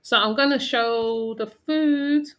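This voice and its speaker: A woman's voice: on the high side, slow. A woman hosting a reaction video, talking in a chatty commentary style with frequent restarts. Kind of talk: casual commentary.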